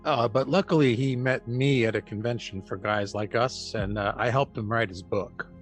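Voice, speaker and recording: A man's voice: medium pitch, normal speed, faint music behind, lightly acted. A man playing an easygoing, wry UFO investigator in a casual audio drama conversation.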